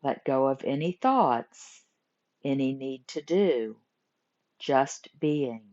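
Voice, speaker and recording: A woman teaching guided meditation, giving gentle instructions in short phrases with pauses between them. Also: extremely low pitch, lively intonation. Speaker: yoga nidra meditation teacher. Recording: muffled lo-fi recording.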